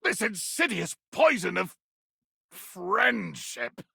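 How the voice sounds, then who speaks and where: An actor playing a tiny, fierce, pompous pirate captain in a video game, with a raspy, gravelly voice and grandiloquent, blustering delivery. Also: male voice, high voice, sing-song, slow, pushed and bright, no echo, clean studio sound, full character voice. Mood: wounded, solemn. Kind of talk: theatrical video game character acting.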